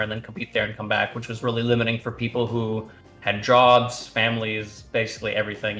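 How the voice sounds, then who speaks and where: A man's voice: on the low side, a bit bright, roomy sound. A man from Romania answering interview questions casually, rambling with fillers and restarts. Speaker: guest, competitive card game player.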